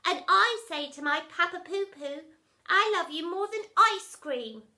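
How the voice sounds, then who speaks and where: A sugary, smug boy gushes in baby talk and rhyming pet names, as a woman puts him on. The voice sits very high and bright. He drawls his words out slowly. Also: animated, exaggerated voice. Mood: gushing. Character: syrupy baby-talking boy, smug and sappy.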